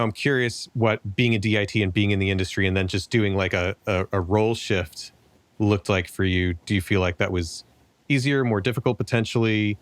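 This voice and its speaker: A man hosting a podcast interview, speaking in a relaxed, conversational way from the United States, close to the mic. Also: fairly low pitch, no echo. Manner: relaxed, conversational, a few restarts. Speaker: podcast host. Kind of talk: casual interview.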